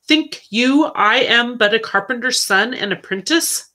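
A woman voicing a patient, kindly monk carpenter in a storybook, with measured, archaic speech. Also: a little high, pushed and bright, pitched above the performer's own voice. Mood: quiet reproof.

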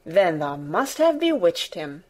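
An excitable young maid from a storybook, acted by a woman narrator. She is plain-spoken and superstitious, given to breathless exclamations in broad dialect. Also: lowish voice, a little slow. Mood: astonished.

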